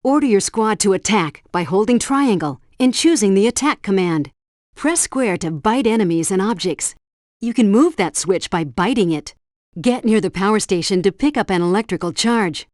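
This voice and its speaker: A woman's voice: mid-range voice, expressive, normal speed, darkish tone, dry recording, clean studio sound, natural voice. A woman voicing a helpful female helpdesk guide in a video game, giving scripted tutorial instructions and mission briefings with precise diction. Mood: instructive.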